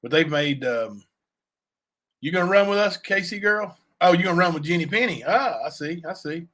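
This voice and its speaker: A man with a raspy voice, chatting loosely on a livestream and answering viewers, full of fillers and asides. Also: on the high side, animated, normal speed, a bit bright. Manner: rambling, many fillers and asides to chat. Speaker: livestream cohost; youth basketball coach. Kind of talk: casual livestream chat.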